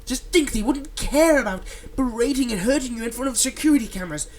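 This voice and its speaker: A man playing a manipulative, soothing male villain in an ASMR roleplay, speaking in a soft, coaxing and dramatic way with deliberate pauses. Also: very high voice, expressive. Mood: vengeful, intense. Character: manipulative, soothing male supervillain, tenderly possessive of his captive.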